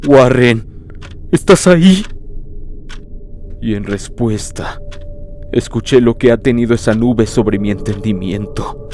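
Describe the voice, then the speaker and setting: A man narrating a horror story in the first person, in a grave, literary, dramatic manner with deliberate phrasing. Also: lowish voice, a little mellow, a little hiss or hum. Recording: prominent music behind the voice.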